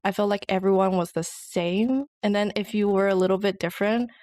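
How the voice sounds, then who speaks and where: A young woman tells stories on a podcast in a chatty, casual way and leans on "like" as she goes. Her voice sits at a middle pitch. The recording is dry and close, with no room sound. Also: fairly even intonation, brisk, darkish tone, no echo. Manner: chatty storyteller, many "like" fillers. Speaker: podcast co-host. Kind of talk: casual chat.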